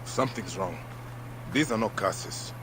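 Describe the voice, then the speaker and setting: An actor playing a solemn, intense ancient Egyptian warrior in a video game, with a dramatic delivery. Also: male voice, lowish voice, measured pace, natural voice. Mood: grim resolve. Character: grim avenging ancient Egyptian male warrior protector. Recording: clear noise under the dialogue.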